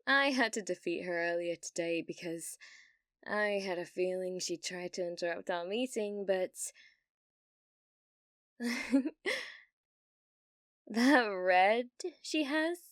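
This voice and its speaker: A woman's voice: mid-range voice, no echo, studio quality, natural voice. A British woman voicing a delusional, needy, obsessive young gamer in love, in an ASMR roleplay. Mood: sweetly unhinged. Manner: breathy giggles, slow dreamy delivery with sudden intense bursts. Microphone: close studio-style mic, dry.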